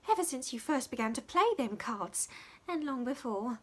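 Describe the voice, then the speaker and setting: A woman's voice: high voice, expressive, normal speed, slightly rough, voice pushed up. A woman voicing a small, timid, downtrodden English servant girl in a storybook, with pleading, uneducated speech. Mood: sheepish.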